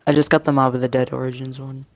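A young man chatting casually while playing a game with a friend, thinking out loud about his moves. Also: mid-range voice, flat delivery, fast talker, dry recording. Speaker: gaming channel host. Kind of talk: casual gameplay chat with a co-player.

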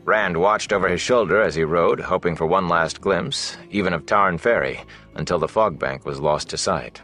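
Deep-voiced man narrating a fantasy audiobook. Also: normal speed, fairly bright tone, soft background music. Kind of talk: scripted fantasy novel narration.

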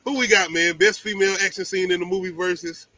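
A man's voice: sits high, steady tone, fast talker, sharp tone, low-quality recording. A middle-aged man, hosting a casual livestream chat in a playful, slangy way. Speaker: host of a movie talk livestream. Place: indoors, home livestream. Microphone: video call.

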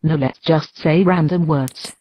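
A synthetic female chatbot voice reads out short replies in a flat, even manner. The sound is dry and dull, with a muffled lo-fi quality. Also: sits low, a little slow, no room sound. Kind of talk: text-to-speech reading chat replies.

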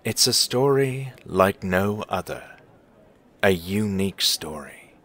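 An actor playing a wise, mysterious storyteller in a Christmas audio drama, with measured, portentous, formal phrasing. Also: male voice, low voice, animated, fairly bright tone, exaggerated voice. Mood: musing, enticing.

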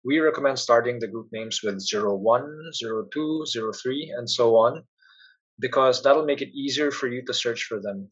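A man presents a step-by-step software walkthrough in a plain, instructional way. His voice is a little husky and sits at a medium pitch. The recording is somewhat dull and muffled. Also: moderate pace. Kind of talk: step-by-step screencast narration.

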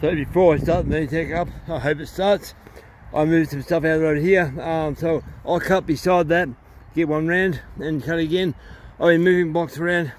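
A middle-aged man with a somewhat high-pitched voice talks in a plain, rambling way to his viewers. It is casual, unscripted vlog speech. He is recorded outdoors on a camera microphone, with faint noise around him. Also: steady tone, moderate pace, hardly any pauses. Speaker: chainsaw hobbyist presenting his video. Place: outdoors in an open wood yard. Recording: noisy outdoor recording with ambient hum.

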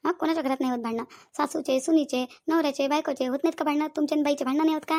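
Woman playing high, fast-talking village peacemaker auntie. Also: steady tone, darkish tone. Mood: placating. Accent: Varhadi Marathi. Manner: talkative, reassuring, repetitive persuasion. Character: middle-aged village matriarch, practical, placating family peacemaker. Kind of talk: comedy cartoon dialogue.

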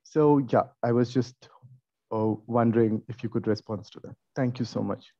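An Indian man with a dark, relaxed timbre puts questions to an academic panel. He thinks aloud in a halting way, and the sound is dry and slightly dull, like an online call. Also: mid-range voice, no room sound. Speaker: conference panel audience questioner. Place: online video conference.

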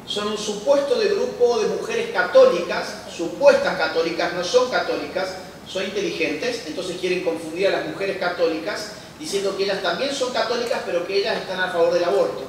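A man's voice: high-pitched, brisk, slight background noise. A man, giving an emphatic public lecture and firing off rhetorical questions that he answers himself. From Argentina. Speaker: conference speaker. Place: large church hall used as a lecture venue. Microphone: handheld mic, recorded by a distant camera. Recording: echoey church hall heard from a distance.

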